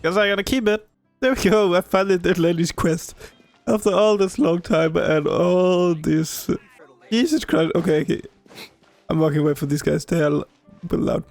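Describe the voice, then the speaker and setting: A man chats casually over a video game in a rather high voice with a lot of creak. He rambles and halts, recorded close and dry with faint game music. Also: dry recording. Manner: rambling, halting, repeats himself. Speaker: video game player, commentator. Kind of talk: casual gameplay commentary.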